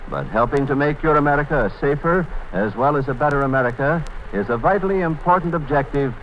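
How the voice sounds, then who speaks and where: A man announcing for the sponsor, reading a commercial in an earnest, steady manner. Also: fairly high pitch, quick pace, a little hiss or hum. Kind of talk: read commercial. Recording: dull lo-fi 1950s radio broadcast.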